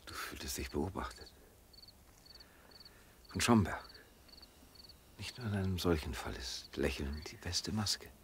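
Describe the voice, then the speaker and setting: An actor playing a reserved, tender man in love in a radio drama, speaking quietly and haltingly. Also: low-pitched, lively intonation. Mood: soft, enamoured. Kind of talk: German radio drama acting. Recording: clear night insect noise behind. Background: crickets.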